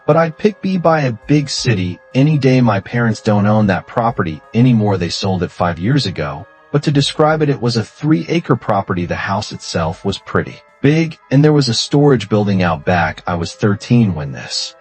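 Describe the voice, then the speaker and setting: Low-voiced man narrating horror stories. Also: very animated, moderate pace, few pauses, darkish tone. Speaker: horror story channel narrator. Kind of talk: scripted first-person horror story narration. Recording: music bed under voice. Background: music.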